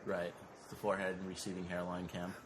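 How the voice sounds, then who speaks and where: Male podcast host, 30s, with a very deep voice. Dry, sarcastic, unhurried delivery. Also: completely flat delivery, very noisy. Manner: dry, sarcastic and laid-back, with fillers. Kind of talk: casual podcast chat.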